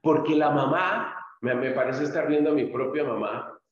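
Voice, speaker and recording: A man's voice: fairly high pitch, normal speed. A man, a pastor, teaching a church group, explaining patiently and speaking to listeners directly by name. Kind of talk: instructional talk to a small group. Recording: very echoey, dull lo-fi room.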